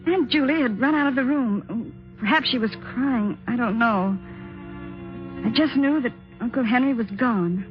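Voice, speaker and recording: A woman's voice: fairly high pitch, normal speed, lightly acted. An actress playing a worried, stubborn young married niece, narrating her tale in a dramatic 1950s radio suspense style. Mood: apprehensive. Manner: earnest, dramatic, insistent. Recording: muffled old radio recording, music behind.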